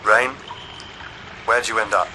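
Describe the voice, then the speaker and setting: A man playing a calm, supportive mission partner in a video game cutscene, measured and matter-of-fact. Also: fairly low pitch, background noise. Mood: concerned.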